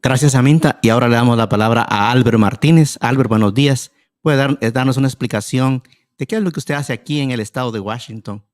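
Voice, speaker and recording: A man's voice: mid-range voice, fast. Polite male radio host, formal. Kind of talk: radio talk show hosting.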